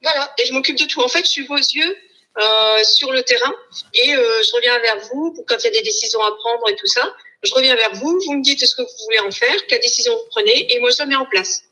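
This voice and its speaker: A woman from the Hauts-de-France who works as a real estate agent, explaining her services to a prospective client slowly and with many fillers. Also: fairly high pitch, pushed and bright, poor audio. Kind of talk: sales call explanation.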